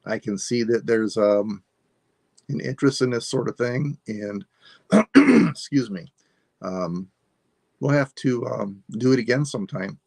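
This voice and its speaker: A man of sixty-five, hosting a live sale and signing off in a relaxed, rambling way while losing his voice. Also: mid-range voice, a little slow, creaky, breathy edge, no echo. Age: 60s. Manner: relaxed, rambling, self-deprecating. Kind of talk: casual live stream sign-off.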